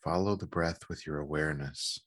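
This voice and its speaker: A man leading a guided meditation in a calm, gentle, measured manner with long pauses. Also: rumbling low, no room sound, clean studio sound.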